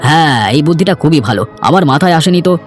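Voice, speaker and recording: A man voicing a good-natured, grumbling villager in a fairy-tale cartoon, chatty and quick to complain. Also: a little high, close to an everyday voice. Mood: grateful. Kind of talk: Bengali cartoon voice acting. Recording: background music under the voice.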